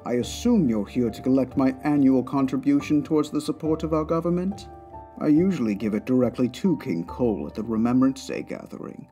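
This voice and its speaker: A man voices a haughty aristocratic villain for a comic-book drama. His voice sits at an average male pitch, and his phrasing is formal, precise and self-important. Music runs faintly behind him. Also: expressive, a little fast, warm tone, natural voice. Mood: smooth, courteous. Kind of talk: comic dub voice acting.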